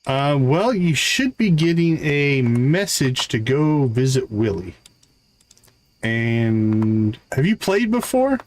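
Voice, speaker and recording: A man's voice: medium pitch, very animated, slow. A man with a mid-range voice gives live commentary on a game. He chats casually with viewers and explains things in an easygoing way. Faint clicks and background noise sit behind his voice.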